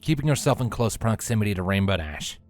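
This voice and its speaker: A man narrates a story in a fairly low voice. His delivery is scripted storybook reading, with light music playing behind him. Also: lively intonation, fast, a little mellow.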